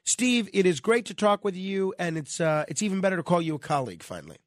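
A man's voice: sits high, expressive, quick pace, no room sound, clean studio sound. Nasal male radio host with a cold. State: stuffed up with a cold. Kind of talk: conversational talk radio.

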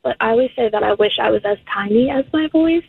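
A woman in her thirties calling into a radio show, soft-spoken and giggly with a small, high voice. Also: quick pace, creaky, husky. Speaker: radio caller. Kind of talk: casual phone chat. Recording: tinny phone line.

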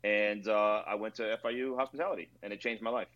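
A man from Maryland, a restaurant owner, telling stories in a relaxed, rambling way with lots of fillers during an interview. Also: fairly low pitch, fast talker, muffled recording. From Rockville, Maryland, near Washington D.C. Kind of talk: casual interview over video call. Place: his own themed bar.

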